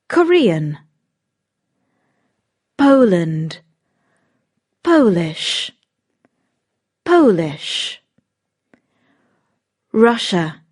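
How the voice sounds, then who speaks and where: Very slow woman reading vocabulary. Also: fairly low pitch. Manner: reads each word on its own, with lively intonation. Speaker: lesson narrator. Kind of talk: slow scripted word-list reading.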